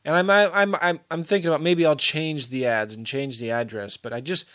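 A man's voice: on the high side, fairly even intonation, normal speed, fairly bright tone. A middle-aged man talking casually to camera as a real estate investor, rambling with many fillers and restarts. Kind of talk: casual video update.

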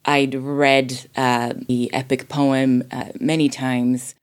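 Low-voiced woman chatting as podcast guest. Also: little pitch movement, slow pace, clean tone. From Sweden. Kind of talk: casual film discussion.

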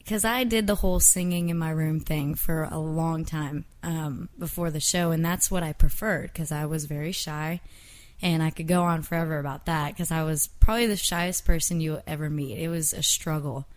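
A young woman sits as a guest in a relaxed interview and speaks softly in a lowish voice. She laughs a lot, and her answers trail off into fillers and small hesitations. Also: moderate pace. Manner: soft-spoken, laughs often, trails off with fillers. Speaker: singer and guest. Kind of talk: casual interview chat.

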